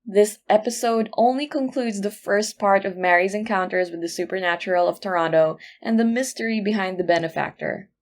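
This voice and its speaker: A woman's voice: medium pitch, brisk, few pauses. A woman podcast producer reading a scripted outro with credits and announcements.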